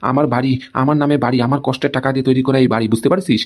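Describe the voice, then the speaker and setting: Stubborn cartoon husband played by a man, indignant, scolding, extremely fast delivery with repeated tag questions. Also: medium pitch, fairly even intonation, lightly acted. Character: indignant, stubborn husband guarding his hard-earned house. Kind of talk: amateur comedy cartoon dialogue.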